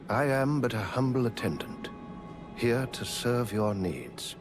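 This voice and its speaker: An actor playing a humble machine attendant in a video game, polite and formal like a butler. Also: male voice, mid-range voice, vocal fry, exaggerated voice. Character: humble, courteous war-machine construct turned housekeeping attendant. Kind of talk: scripted video game dialogue.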